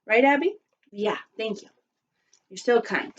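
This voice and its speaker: A woman teacher reading a children's story aloud expressively and pausing to put questions to her listeners. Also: fairly high pitch, animated, slight background noise. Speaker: primary school teacher. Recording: dull lo-fi recording.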